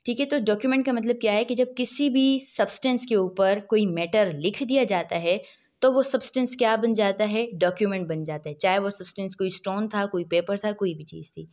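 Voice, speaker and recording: A woman giving a recorded law lecture, asking questions and answering them herself, reading legal text slowly before explaining it. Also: medium pitch, a little mellow. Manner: asks and answers her own questions.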